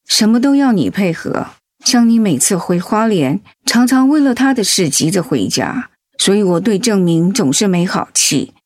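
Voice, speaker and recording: Radio drama friend: big-sisterly, earnest, frank, medium pitch, close dry sound. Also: female voice, a little mellow, dry recording. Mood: regretful. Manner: earnest, sincere. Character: caring older-sisterly woman friend, frank and apologetic. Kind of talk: Mandarin radio drama acting.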